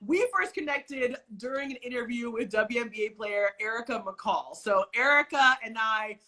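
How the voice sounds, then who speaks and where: A young woman hosts a relaxed interview, bubbly and quick to laugh, filling her talk with "like". Her voice sits high and bright with a slightly husky edge. She comes across as chatty and eager. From California. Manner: bubbly, laughs often, many "like" fillers. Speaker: podcast host. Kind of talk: casual video-call interview.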